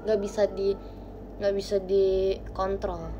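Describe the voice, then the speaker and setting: A young woman chats with viewers on a phone livestream from a small bedroom. Her delivery is playful, and soft murmurs jump into bright, lively bursts. The sound is a little dull, with a faint hiss under her voice. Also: medium pitch, slow pace. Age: young adult. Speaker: idol livestream host. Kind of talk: casual livestream chat with viewers. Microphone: phone camera close to face.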